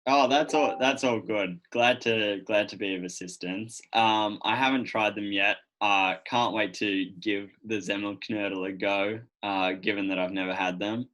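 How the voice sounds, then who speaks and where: Casting: Australian male guest, colloquial and relaxed in manner, deepish voice with a forward, bright edge. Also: normal speed, few pauses, low-quality recording. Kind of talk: casual chat.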